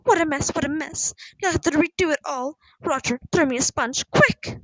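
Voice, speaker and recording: A woman voicing an overwrought, self-pitying middle-aged mother in a storybook, scolding in a shrill, emotional way. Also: very animated, normal speed, no room sound, low-quality recording. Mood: screeching panic.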